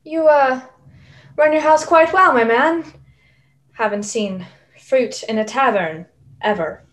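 A young woman playing a skeptical, cautious gentleman doctor in a radio play, with a dry, teasing manner. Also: high-pitched, takes it slowly. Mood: cautious, curious. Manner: dry, wry.

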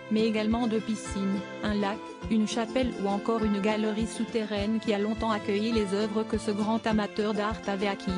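Flat-toned female news narrator over music. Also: medium pitch. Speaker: narrator of a celebrity news video. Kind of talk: scripted narration. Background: background music.

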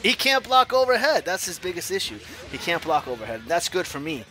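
A man in his forties commenting on his own online fighting game matches, swinging from low mutters to loud yells, laughing and cursing at himself and his opponent. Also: sing-song, fairly quick, faint music behind. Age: 40s. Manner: profane, self-mocking trash talk. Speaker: gaming YouTuber. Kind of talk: live gameplay commentary.

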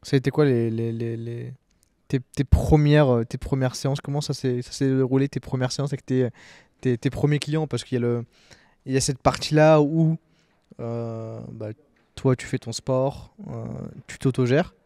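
A man's voice: medium pitch, fairly even intonation, normal speed, mellow, dry recording, studio quality. A young man, asking casual interview questions in a hesitant way, repeating words. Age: young adult. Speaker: podcast interviewer. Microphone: close podcast microphone on arm.

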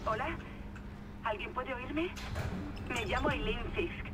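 A woman's voice: on the high side, slow pace. A woman playing a frightened, abandoned patient, anxious and pleading, in a horror video game. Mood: anxious confusion. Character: frightened, abandoned female patient calling for help. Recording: very noisy in-game audio log.

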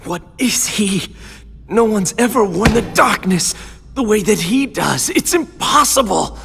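A man's voice: sits high, animated, measured pace, creaky, heavily acted. An actor playing a haughty, scheming young male villain in a video game cutscene. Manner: haughty, scornful. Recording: loud ominous music behind.

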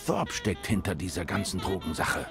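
A man voicing a brooding private detective in a noir video game, speaking in a measured inner monologue as if murmuring to himself. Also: sits low, gravelly, music in the background. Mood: pondering. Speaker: detective narrator and protagonist.

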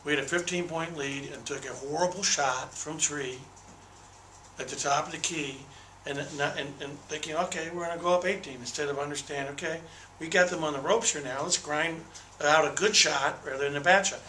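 A man's voice: a little high, fairly even intonation, moderate pace, pushed and bright, a little hiss or hum. A man in his sixties, a basketball head coach, giving candid, detailed postgame answers at a table microphone in a small press room. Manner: candid, detailed, repeats words when restarting. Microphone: table microphone on a desk. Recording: roomy echo.

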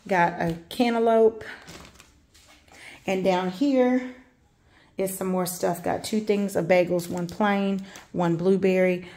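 Slow-talking gravelly woman. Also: mid-range voice. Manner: relaxed, rambling, many "um"s while listing items. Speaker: vlogger showing a grocery haul. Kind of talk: casual show-and-tell narration. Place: home kitchen, indoors.

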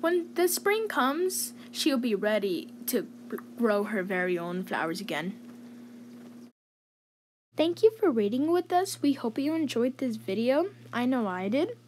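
A woman reading a children's story aloud in an expressive, clearly enunciated storytelling manner. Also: on the high side, measured pace, slight background noise. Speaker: host reading children's picture book aloud.

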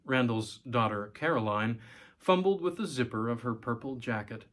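A man's voice: mid-range voice, expressive, normal speed, fairly bright tone. A man in his forties reading a short story aloud as scripted narration. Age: 40s. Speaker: story reader, host. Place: small room at home.

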